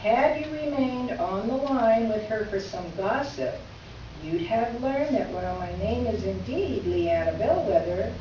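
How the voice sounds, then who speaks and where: A woman playing an indignant, well-to-do columnist, reading a letter aloud on stage in a formal, precise and pointedly polite manner. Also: mid-range voice. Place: live reading in an echoey room. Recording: distant echoey room, muffled, faint noise.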